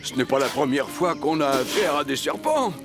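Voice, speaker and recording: A man voicing a big, good-natured bear in a French cartoon dub, blustery and protective, with expressive, hesitant interjections. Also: on the high side, moderate pace. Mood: calm, protective. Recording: music under the dialogue.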